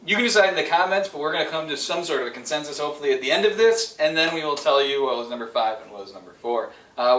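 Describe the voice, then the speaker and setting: Male co-host, casual debate, quick pace, interjects and swears, recorded roomy and off-mic. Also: medium pitch, a bit bright. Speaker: podcast co-host. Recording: roomy echo, distant microphone.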